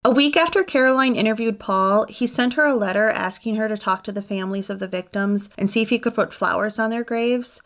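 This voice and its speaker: A woman's voice: mid-range voice, gravelly. A woman narrating a true crime story in a conversational way, with musing personal asides. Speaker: true crime channel host.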